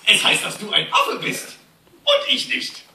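A man playing an eccentric, teasing old monkey shaman in a live radio play, riddling and excitable. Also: a little slow, crowd noise, close to an everyday voice. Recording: echoey hall, distant handheld phone, noisy.

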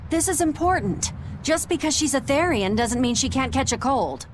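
A woman's voice: high-pitched, expressive, normal speed, background noise. A woman voicing a strict, motherly character who fusses and scolds insistently in a video game. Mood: stern, scolding.

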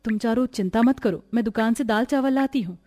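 A woman's voice: a little high, brisk, mellow, close to an everyday voice. A woman voicing a poor, caring sister-in-law in a cartoon, with a humble, pleading manner.

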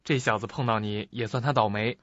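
A man's voice: lowish voice, fairly even intonation, a little fast, a bit bright, muffled recording, a little hiss or hum, close to an everyday voice. A man playing a greedy, crooked lawyer in a suspense radio play, with an oily, ingratiating manner. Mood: gloating. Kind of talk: audio suspense drama acting. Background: music.

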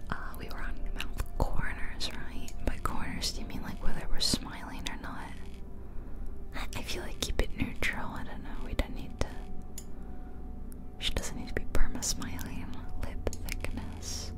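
A woman whispering breathily and close to the mic, musing aloud in an ASMR gaming commentary. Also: moderate pace. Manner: musing aloud, asking herself questions. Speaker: host of an ASMR gaming video. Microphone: close ear-to-ear ASMR microphone.

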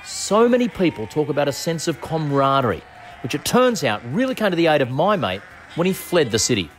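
A man's voice: fairly high pitch, very animated, brisk, slight background noise. An Australian man in his forties narrating a travel show as its host, with a jokey, playful manner. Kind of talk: scripted voice-over narration and casual chat.

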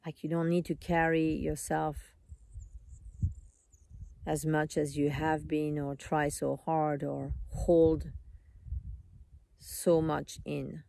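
A meditation teacher leading a live guided meditation in an unhurried, soothing manner, in short phrases with long pauses. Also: mid-range voice, level tone, creaky, a little mellow.

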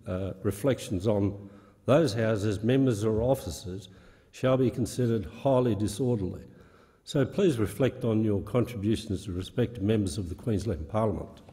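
A man presiding over a parliamentary chamber, measured and formal as he reads out a procedural rule. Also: lowish voice, warm tone. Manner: measured, reads rules aloud. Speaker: presiding officer. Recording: reverberant chamber.

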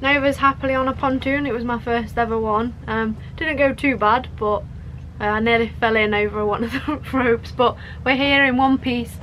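Brief: young woman vlogger, playful and chatty, slightly high voice, faint background hum. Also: steady tone, normal speed. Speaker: sailing vlogger. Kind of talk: casual vlog chat. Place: inside a small boat cabin.

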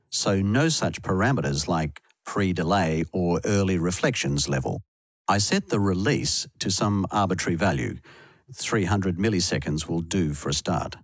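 A man reading scripted instructional narration in a measured, explanatory way, as a tutorial voice-over. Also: low voice, no echo. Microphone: close voice-over mic, dry studio sound.

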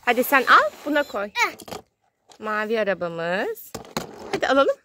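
High-voiced woman in playful child-directed narration. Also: wildly swooping pitch, a little slow, background noise. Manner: sing-song, exclaiming, simple short phrases. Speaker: children's video host playing with a child. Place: outdoors on grass in a garden.

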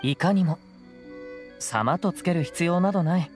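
An actor playing a modest, composed young wandering samurai with archaic speech in an anime game. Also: male voice, on the high side, unhurried, a little mellow, soft background music, lightly acted. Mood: calm, modest. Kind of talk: Japanese anime game voice acting.

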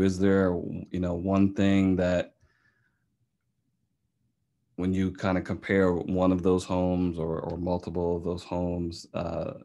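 A man moderating a discussion, feeling his way through questions in halting, careful phrases. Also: low-pitched, dark tone.

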